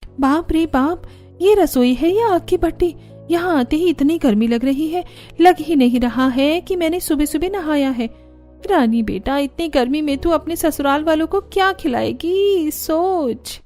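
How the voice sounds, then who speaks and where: A woman's voice: high-pitched, a little slow, darkish tone, faint music behind. A woman voicing a dutiful young new bride in a cartoon, speaking politely and earnestly. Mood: overheated, fretting.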